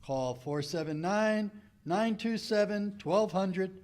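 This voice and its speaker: An actor as a wartime radio announcer of the 1940s. His voice is high for a man, and he reads a public appeal formally and earnestly. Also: slow pace.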